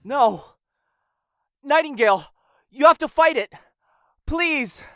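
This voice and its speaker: A man playing a protective, earnest vampire lover in an ASMR roleplay, emotional and breathy. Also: very high voice, animated, takes it slowly, sharp tone, no room sound, clean studio sound, full character voice. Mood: desperate pleading. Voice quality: breathy, whispery.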